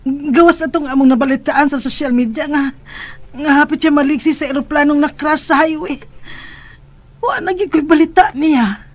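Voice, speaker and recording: A woman's voice: sits high, moderate pace, close to an everyday voice. An actress with a Visayan Filipino accent playing a worried, reproachful mother in a radio drama. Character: worried, scolding mother of a missing young man.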